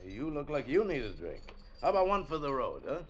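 An actor playing a world-weary island barkeeper in 1940s radio drama, colloquial and matter-of-fact. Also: male voice, a little high, huge pitch swings, brisk, fairly bright tone, husky, heavily acted. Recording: noisy, muffled vintage radio recording.

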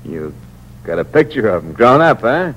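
An actor playing a cold-blooded, cynical killer in a 1940s American radio thriller, terse and slangy. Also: male voice, on the low side, very animated, moderate pace, fairly bright tone. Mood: tense, menacing. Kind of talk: 1940s radio thriller acting, first-person hardboiled narration. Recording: muffled old radio recording with hum and hiss.

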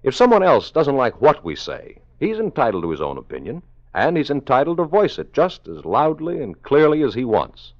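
A man's voice: medium pitch, huge pitch swings, moderate pace. A man with an American accent playing a solemn announcer, speaking with dramatic, emphatic oratory in a 1950s radio message. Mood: earnest, patriotic. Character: solemn male announcer warning about lost freedoms. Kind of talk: 1950s radio public service announcement narration. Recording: muffled 1950s radio broadcast.

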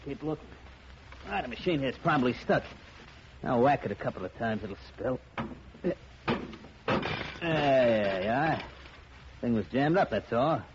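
Scratchy muffled old radio, male actor doing a gloating tough-guy gangster, slangy, menacing, medium pitch. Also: animated, measured pace, pauses often, lightly acted. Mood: gruff, impatient. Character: cocky thuggish slot machine racketeer, gloating brute. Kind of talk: 1940s-50s radio crime drama acting.

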